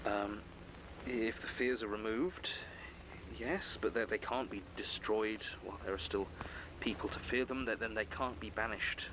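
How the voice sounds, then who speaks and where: Noisy ambience surrounds a low male voice. An actor plays a troubled man burdened by dark power, stammering hesitantly through audio drama dialogue. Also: measured pace, lightly acted. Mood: hesitant, uneasy. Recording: noisy distant clip with ambience.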